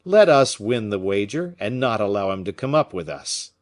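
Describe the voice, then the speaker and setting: A man's voice: fairly low pitch, big pitch swings, normal speed. A man reading an audiobook, voicing a nobleman's anxious, loyal aide who urges caution. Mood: urgent. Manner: urgent, pleading.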